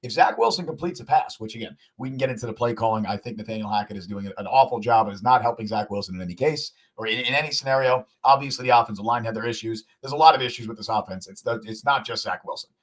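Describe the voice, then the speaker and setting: A man co-hosts a sports podcast with a voice gone husky and hoarse from the weekend. He talks at a rapid clip and repeats phrases for emphasis. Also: medium pitch. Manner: fast, emphatic, repeats himself for stress. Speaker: podcast co-host.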